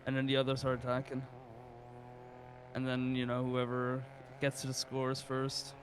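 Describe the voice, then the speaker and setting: Flat-voiced man in game chat. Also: mid-range voice, normal speed, noisy background. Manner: flat, offhand, swears casually. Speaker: fellow player. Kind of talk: casual gaming chat.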